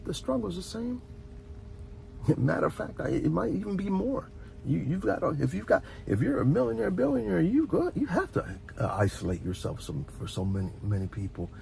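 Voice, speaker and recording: A middle-aged man giving an informal, heartfelt advice monologue as a host, speaking earnestly and reflectively, often halting and repeating words. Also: high voice, big pitch swings, gravelly, warm tone, faint music behind. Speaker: host of a relaxation and advice channel.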